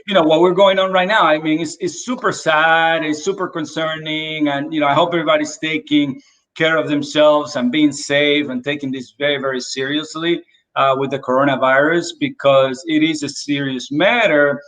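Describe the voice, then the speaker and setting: A man's voice: on the high side, steady tone, moderate pace, fairly bright tone, poor audio. A man from the Dominican Republic, a real estate agent and interview guest, telling his story animatedly and with many fillers over a video call.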